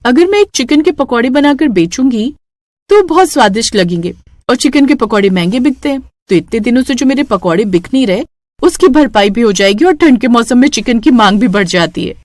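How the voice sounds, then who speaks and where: A woman's voice: a little high, expressive, brisk, darkish tone, dry recording, clean studio sound, close to an everyday voice. A woman voicing a spirited, kind young street vendor in a cartoon story, earnest and chirpy. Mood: excited.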